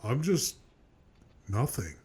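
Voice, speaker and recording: A man acts a self-loathing cartoon loser with a raspy, husky voice. His pitch jumps about a lot as he talks in a bitter, halting manner. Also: big pitch swings, warm tone, full character voice. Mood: dejected. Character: foul-mouthed, self-loathing loser with a witty attitude. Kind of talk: animated web cartoon dialogue.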